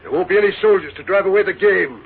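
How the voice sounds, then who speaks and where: An actor playing a curt, scheming outlaw leader in a 1940s radio western, bossy and rough in manner. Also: male voice, sits high, quick pace, full character voice. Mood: commanding. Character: curt scheming male outlaw gang leader in the Old West. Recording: tinny, noisy 1940s radio recording.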